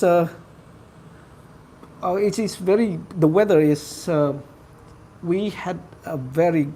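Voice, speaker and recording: A man hosting a casual live stream, talking to his viewers in a halting way with many fillers. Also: sits high, darkish tone. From Philippines. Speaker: livestream host.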